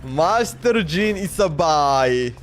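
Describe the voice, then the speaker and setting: A man's voice: fairly high pitch, lively intonation, slow pace. A man livestreaming a video game, thinking aloud in casual commentary and often repeating himself, talking to the game and his chat. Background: game music.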